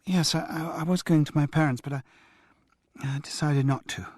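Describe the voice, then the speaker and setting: A woman's voice: sits low, fairly even intonation, moderate pace, darkish tone, no room sound, clean studio sound, pitched above the performer's own voice. A man voicing a sleepy young actress woken by a phone call, speaking in a woolly, hesitant way. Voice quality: woolly, drowsy.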